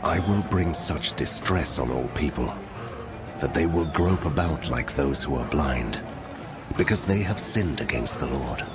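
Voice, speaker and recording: An actor voicing a wrathful God pronouncing judgment in solemn, commanding declamation for a dramatized scripture reading. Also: male voice, rumbling low, animated, measured pace, over loud music.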